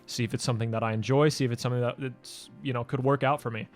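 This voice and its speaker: A man's voice: mid-range voice, fairly even intonation, rapid-fire, no echo, faint music behind. A man in his twenties from Denmark, answering fan questions in a loose, rambling way with many fillers and restarts. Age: 20s. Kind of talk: casual Q&A vlog.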